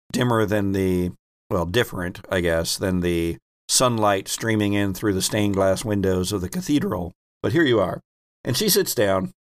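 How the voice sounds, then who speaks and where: Low-voiced male game master, dry sound. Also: no echo. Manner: informal, self-correcting. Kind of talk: improvised scene narration for a tabletop game.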